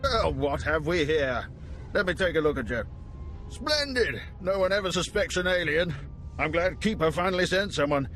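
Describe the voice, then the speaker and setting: Older spy station chief in a game, highish male voice, wry and genial, urbane. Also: animated, normal speed, a bit bright, a little hiss or hum. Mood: jovial, welcoming. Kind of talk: video game voice acting.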